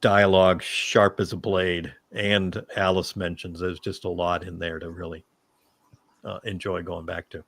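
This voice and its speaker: A man speaking as a fan panelist, giving his opinions in a measured, conversational discussion style. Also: sits low, flat delivery, fairly bright tone, no room sound.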